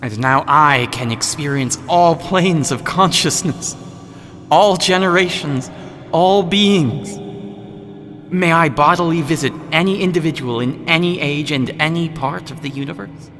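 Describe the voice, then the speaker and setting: An actor playing an awed male seeker of cosmic knowledge in a radio play, earnest and deliberate, with long cavernous reverb. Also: a little high, fairly bright tone, soft background music. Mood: eager wonder.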